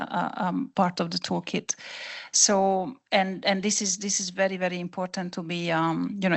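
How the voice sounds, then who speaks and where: A woman's voice: on the low side, creaky, a bit bright. A woman whose first language is Hungarian, answering a question on a conference panel in an earnest, explanatory way with frequent restarts.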